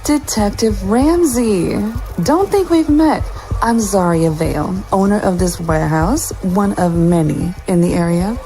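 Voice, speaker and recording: A woman playing an imperious crime boss in an audio drama, with a cold, deliberate, commanding manner. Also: medium pitch, lively intonation, dark tone, faint music behind. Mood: cold menace. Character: imperious female crime boss, warehouse owner, curt and menacing. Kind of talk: audio drama acting with first-person narration.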